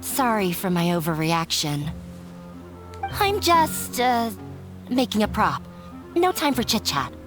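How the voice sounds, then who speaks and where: A woman's voice: mid-range voice, very animated, takes it slowly, long pauses. A woman voicing a shy, anxious young prop maker in a video game, hesitant, flustered and apologetic. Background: music.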